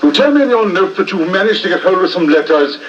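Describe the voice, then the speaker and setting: A man's voice: high-pitched, expressive, fast. An actor playing an oily, businesslike male blackmailer with a British accent in a 1950s radio drama. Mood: smug, businesslike. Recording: muffled distant 1950s radio recording.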